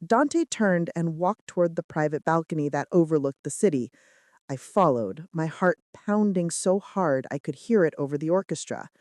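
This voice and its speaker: A woman's voice: low voice, lively intonation, moderate pace, a little mellow, dry recording, studio quality. A woman reading scripted first-person romance fiction as an audiobook narrator, with dramatic, suspenseful delivery.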